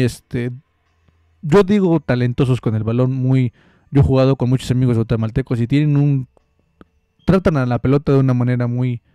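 Young guy rambling about football, warm mellow voice, dry close mic. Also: medium pitch, smooth, no echo. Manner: rambling, joking, many restarts. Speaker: football commentator. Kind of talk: casual football analysis. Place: small room indoors. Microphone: studio mic close up with headphones.

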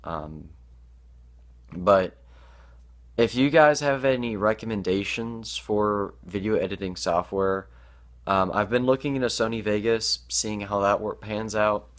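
A teenage boy vlogging to his webcam in a small bedroom, hesitant with many ums, sounding stuffed up from allergies. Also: fairly low pitch. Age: teens. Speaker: gaming YouTuber. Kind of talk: casual vlog to camera.

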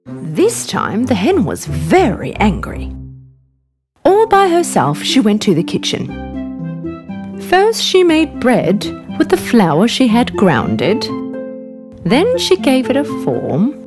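A woman's voice: on the high side, huge pitch swings, takes it slowly. A woman narrating a scripted children's bedtime story as the storyteller. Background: background music.

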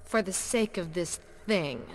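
A woman's voice: lowish voice, unhurried. An actress playing a brave, earnest young policewoman in a horror video game, speaking with urgency. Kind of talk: dramatic video game acting.

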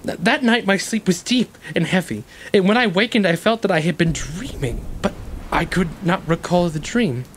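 An actor playing an anxious, educated ex-convict who justifies himself in a halting, earnest stage-play delivery. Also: male voice, high voice, natural voice. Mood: confessional, uneasy. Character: guilt-ridden educated ex-convict man, anxiously self-justifying. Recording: clearly noisy, rain-like ambience behind.

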